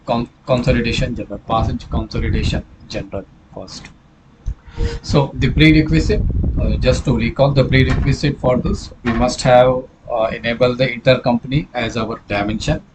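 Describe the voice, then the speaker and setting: A clearly noisy recording carries a man's voice at an average pitch. He speaks as a software trainer walking through a hands-on tutorial. His delivery is halting, with repeated phrases, hesitations and self-corrections.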